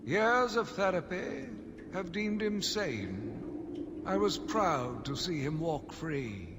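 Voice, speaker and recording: An actor playing an embittered, zealous asylum doctor who gives a solemn, theatrical monologue for a video game audio log. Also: male voice, high-pitched, sing-song, full character voice. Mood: bitter. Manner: solemn, measured, brooding, theatrical. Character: embittered male asylum doctor, grief-stricken, zealous, slowly turning vengeful. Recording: long reverberant echo, loud background noise.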